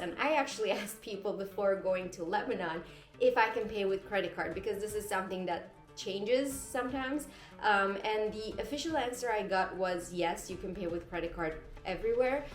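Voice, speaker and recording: A chatty woman travel vlogger from Syria talks straight to camera from in front of a green screen, trailing off now and then to correct herself. Her voice sits at an ordinary middle pitch. The room adds a noticeable echo, and faint music plays underneath. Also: moderate pace. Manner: chatty, with fillers and self-corrections. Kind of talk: casual travel tips to camera.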